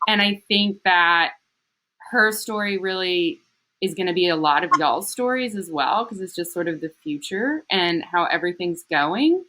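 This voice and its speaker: A woman's voice: lowish voice, animated, normal speed, sharp tone, poor audio. A woman hosting a casual interview with a friend, upbeat and loose, filling her speech with "like" and "um". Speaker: interviewer and channel host. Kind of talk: casual interview intro and questions.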